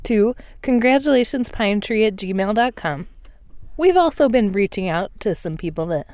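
A woman co-hosting a podcast chats casually and jokes with her partner. Her pitch moves a lot and sounds animated. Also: normal speed, no room sound. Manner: playful, joking. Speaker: podcast co-host. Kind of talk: casual chat.

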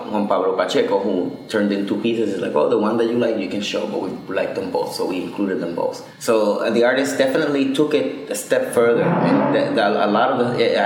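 A man's voice: on the low side, heavy reverb, low-quality recording. A man from Puerto Rico, a curator, who talks about an art show in an academic, thoughtful way and repeats words as he thinks. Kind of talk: interview conversation.

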